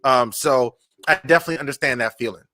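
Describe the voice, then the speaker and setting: Bright-voiced American man hosting a talk show. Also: mid-range voice, quick pace. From Charleston, United States. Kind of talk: casual live interview talk.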